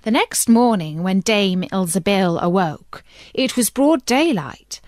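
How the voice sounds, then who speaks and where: A woman's voice: mid-range voice, sing-song, slow pace, a little mellow, no room sound, studio quality. A woman reading a children's fairy tale as scripted storytelling narration.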